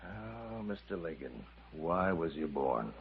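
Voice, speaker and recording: An actor playing a grumbling comic sidekick in a 1950s radio comedy. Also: male voice, rumbling low, big pitch swings, slow pace, vocal fry, exaggerated voice. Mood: mournful, wry. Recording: muffled narrow-band 1950s radio recording.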